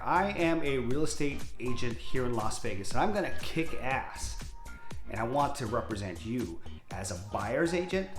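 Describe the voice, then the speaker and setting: A man's voice: medium pitch, roomy sound. A man in his fifties from Hawaii, introducing himself to camera as a real estate agent in a candid, upbeat way. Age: 50s. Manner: candid, talkative, upbeat salesman. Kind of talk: direct-to-camera self-introduction.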